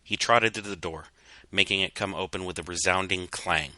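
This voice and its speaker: Deep-voiced man narrating with a sharp, bright edge. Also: normal speed, no echo. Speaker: fan fiction reader. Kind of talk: dramatic scripted story narration.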